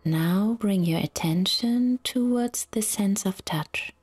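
A woman guiding a relaxation meditation in a soft, soothing, half-whispered voice with long pauses. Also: medium pitch, breathy edge. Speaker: yoga nidra guide.

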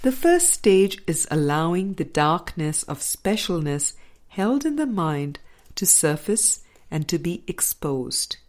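A woman reading a spiritual audiobook as scripted narration, with measured, deliberate phrasing. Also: on the low side, big pitch swings, a little mellow. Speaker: audiobook reader.

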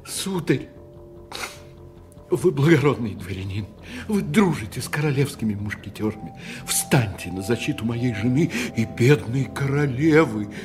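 An obsequious, anxious tradesman landlord, played by a man in a radio play. He pleads and hesitates in a very lively, swinging voice, faint music behind him. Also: medium pitch, unhurried, close to an everyday voice. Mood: anxious, pleading. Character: anxious, fawning French landlord, a tradesman husband.

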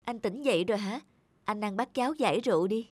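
A woman voicing a weary, sad young woman in a wheelchair in an animated cartoon. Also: mid-range voice, quick pace, slightly rough, no echo, close to an everyday voice. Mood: sorrowful. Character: young woman in a wheelchair, bitter then tender.

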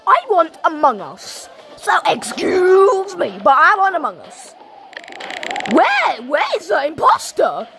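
Very high-pitched, excitable young gamer girl. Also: slow, gravelly, slight background noise. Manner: excitable, shouting and repeating phrases. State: coughing, sore throat. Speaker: gaming channel host. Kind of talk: live gameplay commentary. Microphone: phone.

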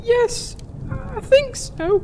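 An actor voices a timid, polite servant boy from a comic fantasy audio play. The voice is extremely high and goes slowly, stammering with nerves. There is faint noise on the recording. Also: full character voice. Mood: timid, confused. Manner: hesitant, stammering. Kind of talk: comedic fantasy audio drama acting.

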